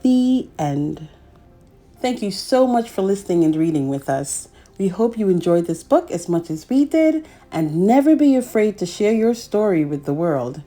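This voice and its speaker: A woman's voice: mid-range voice, sing-song, moderate pace, dark tone, soft background music. A woman hosting a children's read-aloud, telling a picture-book story expressively and speaking directly to young listeners.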